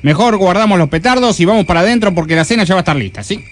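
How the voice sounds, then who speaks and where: An actor playing a cautious, well-meaning uncle with an Argentine accent in a comic radio play. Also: male voice, high-pitched, lively intonation, very fast, over music. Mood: cautious, alarmed. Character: Níspero, cautious, cheerful uncle explaining things carefully.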